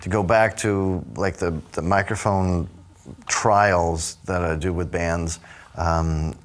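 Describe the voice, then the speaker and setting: A man speaking in a casual studio conversation as a producer, halting with frequent fillers and restarts. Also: deep voice, fairly even intonation. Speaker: record producer.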